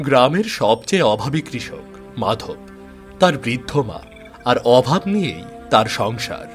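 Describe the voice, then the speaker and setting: A man's voice: medium pitch, very animated, measured pace, faint music behind. A man narrating a folk tale in a scripted storybook style.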